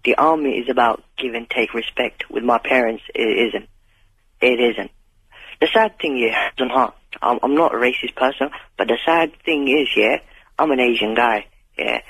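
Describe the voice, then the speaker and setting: Gravelly London teenage boy on the phone. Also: medium pitch, a bit bright, dry recording. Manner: emphatic, slangy, repeats phrases. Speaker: radio phone-in caller. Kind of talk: candid informal conversation. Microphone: telephone. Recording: phone call on talk radio.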